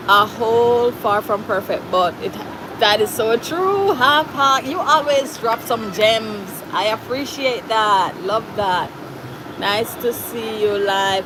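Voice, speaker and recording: A woman's voice: on the high side, expressive, takes it slowly, background noise. A woman with a Jamaican accent hosting a casual livestream, reading out viewer comments in a chatty way and slipping into Patois phrases. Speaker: livestream host.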